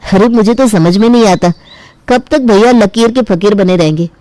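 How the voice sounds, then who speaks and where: A woman's voice: mid-range voice, normal speed, dark tone, no room sound, natural voice. A woman voicing a self-interested younger brother who argues and complains in a cartoon family story. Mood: dismissive. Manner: argumentative, complaining. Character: self-interested younger brother demanding his inheritance share. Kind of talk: acted dialogue in a Hindi cartoon family story.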